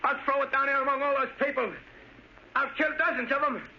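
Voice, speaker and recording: An actor playing an unhinged, vengeful young war veteran turned sniper in a 1940s radio drama, speaking in an obsessive, repetitive, childlike way. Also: male voice, level tone, a little fast. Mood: defiant, panicked. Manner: high-strung, obsessive, repetitive. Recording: dull, noisy old radio recording.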